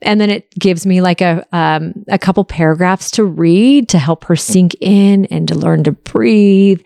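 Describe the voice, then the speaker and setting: Woman, early 40s, solo podcast host. Lowish voice, candid and confessional, casual delivery, dry recording. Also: creaky, a little mellow, dry recording. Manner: confessional, reflective, open. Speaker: podcast host answering listener questions.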